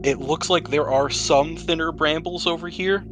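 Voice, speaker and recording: A man's voice: on the high side, moderate pace. Easygoing male game master over music. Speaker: podcast host and game master. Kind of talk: narrating a tabletop roleplaying game to players. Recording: music bed behind the voice. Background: background music.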